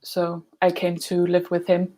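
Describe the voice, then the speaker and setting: A woman from Denmark with a Danish accent, answering interview questions in a measured, understated, conversational way. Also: on the low side, completely flat delivery, dark tone. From northern Denmark. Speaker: guest, glassblower and student.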